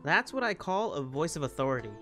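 Casual male gamer over loud music. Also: fairly high pitch, big pitch swings, quick pace. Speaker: gaming video creator. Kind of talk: casual game playthrough commentary and dialogue read-aloud.

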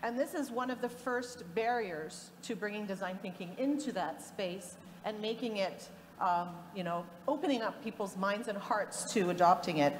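A woman's voice: medium pitch, normal speed. A woman in her forties from Egypt, speaking as a panelist in an explanatory way and tagging her points with "right?". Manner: explanatory, gestures a lot, tags points with "right?". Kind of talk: panel discussion talk. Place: indoor conference panel stage. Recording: long hall reverb, faint noise.

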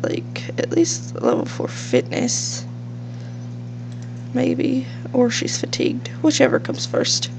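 A young woman with a slight cold narrates her game in a loose, chatty way, full of fillers and side stories. Her voice is fairly high and swings around a lot, with a gravelly fry at the ends of phrases. A faint hum from a fish tank sits under the home recording. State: sniffly from a cold. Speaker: gaming channel host. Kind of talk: casual let's-play commentary.

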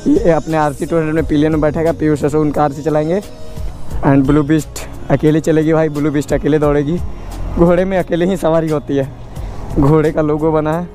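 A young man with a hoarse, strained voice, chatting casually to viewers while riding a motorbike outdoors. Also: on the high side, level tone, a little fast, dark tone, faint music behind. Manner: chatty, addresses viewers directly. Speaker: motovlogger. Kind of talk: casual motorcycle vlog narration. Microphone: helmet-mounted action camera.

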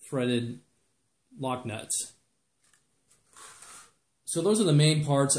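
A man's voice: mid-range voice, a little mellow. A man giving plain, methodical how-to narration, step by step, as a DIY project presenter. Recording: echoey room.

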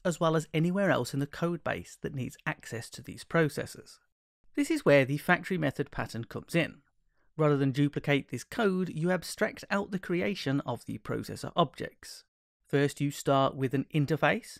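Gravelly man explaining code. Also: on the high side, no echo, studio quality. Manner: clear, explanatory, steady. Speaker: programming tutorial narrator. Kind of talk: scripted screencast narration.

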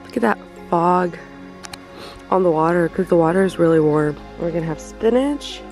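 A woman's voice: lowish voice, normal speed, a little mellow. A young woman talks casually into a handheld camera while camping outdoors. She is chatty and laughs easily. Faint music plays underneath. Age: young adult. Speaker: vlogger. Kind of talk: casual vlog chat.

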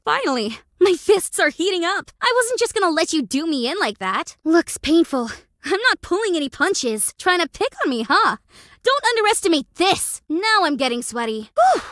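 A scrappy young stunt actress with an Australian accent, brash and teasing. The voice sits high and leaps around in pitch. The studio sound is dry and close, with no room in it. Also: female voice, fairly bright tone, no echo, heavily acted. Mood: cocky. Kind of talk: game character voice lines. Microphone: close studio mic.

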